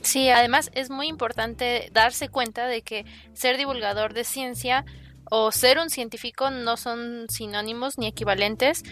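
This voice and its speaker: Measured woman hosting a podcast. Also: on the high side, steady tone, fairly bright tone. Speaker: podcast co-host. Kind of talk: podcast interview chat.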